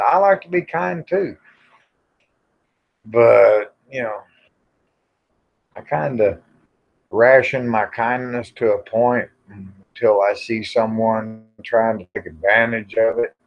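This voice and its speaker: Slow-talking, low-voiced American man. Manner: folksy, plain-spoken. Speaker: livestream host. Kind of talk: casual rambling chat with live viewers. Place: outdoors in the evening. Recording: dull lo-fi livestream audio.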